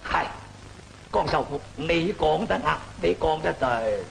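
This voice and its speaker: Stern, pompous magistrate, creaky drawn-out declamation, opera film with prominent music. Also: male voice, on the high side, lively intonation, exaggerated voice, low-quality recording. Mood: deliberating. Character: stern, deliberating imperial magistrate presiding in court. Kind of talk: old Cantonese opera film acting, half-chanted.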